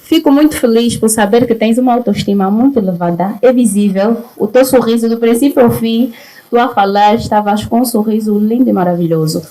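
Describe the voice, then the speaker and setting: A woman's voice: fairly high pitch, a little fast, mellow, poor audio. A woman speaking as a guest fitness trainer, giving plain-spoken, encouraging advice to an audience member.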